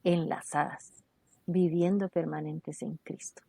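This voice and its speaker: Low, husky woman's intro voice. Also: steady tone, slow, warm tone, no echo. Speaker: podcast intro announcer. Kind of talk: scripted show introduction.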